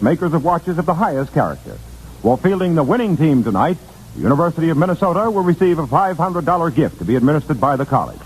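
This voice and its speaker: A man's voice: on the high side, rough recording. An American man making formal, polished scripted announcements in the style of 1950s radio. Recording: hissy 1950s radio recording.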